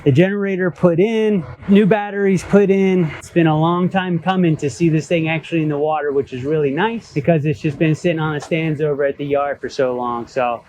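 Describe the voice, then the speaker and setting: A man's voice: on the high side, fairly quick, few pauses, darkish tone, slight background noise, rough recording. Matter-of-fact man giving a boat update. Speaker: boat mechanic. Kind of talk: casual work update.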